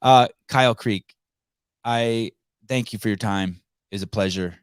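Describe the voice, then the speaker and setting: Man, native Armenian speaker, podcast host, low voice, restarts often, dead dry recording. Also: a little slow, smooth, no echo. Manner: rambling, frequent restarts and profanity. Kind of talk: casual podcast conversation. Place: home in California.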